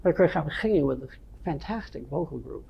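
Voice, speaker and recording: An older man from the North Shore of Massachusetts with a nasal voice, a veteran rock guitarist telling stories from his band days in an unhurried, rambling way. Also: a little high, animated, gravelly, slightly rough, dull audio. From North Shore of Massachusetts, USA. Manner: unhurried, rambling storytelling with many ums. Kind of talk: reminiscing interview answers.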